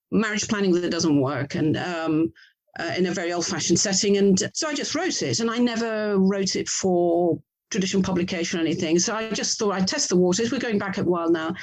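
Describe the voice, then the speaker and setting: A middle-aged English woman speaks at a medium pitch as an author being interviewed. She is chatty and reflective, often breaking off and rephrasing as she talks through her answers. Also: poor audio. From England. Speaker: author and audio drama producer being interviewed.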